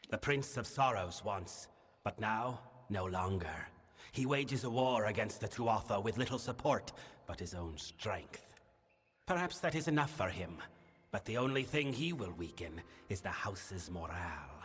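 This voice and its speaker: A male actor voicing a grave, burdened fae king in a fantasy game, speaking formally and in a measured, solemn manner. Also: fairly low pitch, expressive, a bit bright, slightly rough, heavily acted. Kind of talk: scripted fantasy game dialogue. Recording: long reverberant hall, music behind. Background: music.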